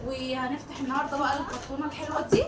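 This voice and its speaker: A woman's voice: high-pitched, steady tone, very fast. A woman with an Egyptian Arabic accent chatting casually as the host of a family unboxing vlog, addressing viewers and relatives. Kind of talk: casual chat while unboxing toy bags. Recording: distant mic, echoey room, clear background noise.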